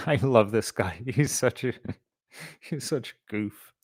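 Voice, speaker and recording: A man's voice: medium pitch, takes it slowly, darkish tone, no room sound, studio quality. A man hosting a casual livestream, talking in a rambling, sweary way. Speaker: show host.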